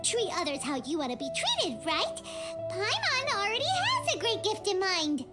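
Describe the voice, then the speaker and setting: A tiny game fairy, extremely high and squeaky. The childlike creature is excitable and greedy, exclaiming with wide swoops of pitch. Music plays prominently behind the voice. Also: female voice, normal speed, heavily acted. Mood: indignant, cheeky. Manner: refers to self in third person, exclamatory. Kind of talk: video game voice acting.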